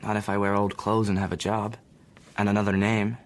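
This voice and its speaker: An actor playing a polite, anxious eighteen-year-old American runaway in a radio drama, with an American accent. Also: male voice, fairly low pitch, little pitch movement, a little fast, lightly acted. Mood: uneasy. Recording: faint background ambience.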